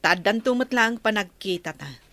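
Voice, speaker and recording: A woman acting a brisk, caring nurse with an Ilocano accent in a radio drama. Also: medium pitch, expressive, bright, forceful tone, dry recording. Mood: matter-of-fact. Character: brisk, caring nurse on a village medical mission.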